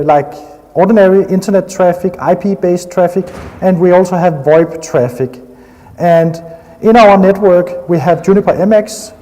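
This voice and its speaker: A man's voice: high-pitched, warm tone. Danish-accented man in a reverberant hall. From Denmark. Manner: enthusiastic, frequent hesitations. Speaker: conference speaker. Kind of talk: technical conference talk. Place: conference hall.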